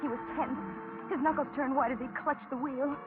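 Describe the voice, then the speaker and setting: Woman playing scared young waitress, high anxious voice, 1940s radio thriller, tinny noisy old recording. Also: steady tone, fairly quick, music in the background, lightly acted. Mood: anxious narration. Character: frightened young waitress held hostage, pleading and telling her ordeal. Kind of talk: 1940s radio suspense acting, dramatic narration.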